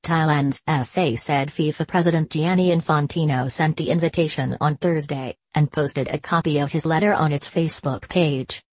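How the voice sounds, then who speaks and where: A woman with a deep, low voice delivers a scripted news story. Her read is even and measured, and the recording is dry, with no room sound. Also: dry recording. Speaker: news narrator.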